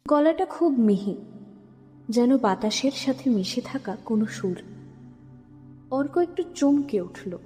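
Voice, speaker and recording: A woman's voice: fairly high pitch, lively intonation, slow pace, pauses often, warm tone. A woman narrator reading a scripted story for an audio drama. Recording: long reverb under music. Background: music.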